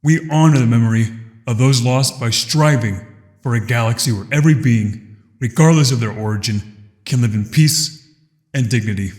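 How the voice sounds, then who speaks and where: A man playing a grave alien leader who delivers a measured memorial speech in a sci-fi audio drama. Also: mid-range voice, darkish tone, close to an everyday voice. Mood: resolute. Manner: measured, grave, oratorical with weighty pauses. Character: grave alien co-chair commemorating his people's tragedies. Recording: long hall reverb.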